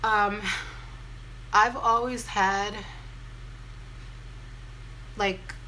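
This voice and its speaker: A young American woman with a deep voice talks casually and candidly, in a self-deprecating, informal way, as a vlogger telling a story. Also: fairly even intonation, extremely slow, sharp tone, a little hiss or hum, low-quality recording. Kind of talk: casual chatty get-ready-with-me storytime.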